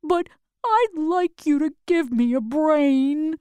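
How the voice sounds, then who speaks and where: A woman's voice: high-pitched, takes it slowly, mellow, no echo, voice pushed up. Woman reading as an earnest, hopeful scarecrow. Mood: wistful.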